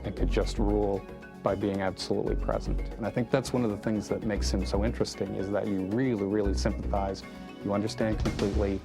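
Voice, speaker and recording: Even-toned man, film director being interviewed. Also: fairly low pitch, fast talker, gravelly. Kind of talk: documentary interview, reflective explanation. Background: music.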